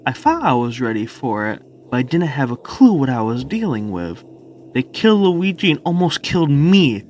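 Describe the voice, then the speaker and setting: A man's voice: mid-range voice, big pitch swings, normal speed, a little mellow, slight background noise, close to an everyday voice. A man voicing a bitter, angry, defeated villain in an amateur cartoon dub. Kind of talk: amateur comic dub character acting.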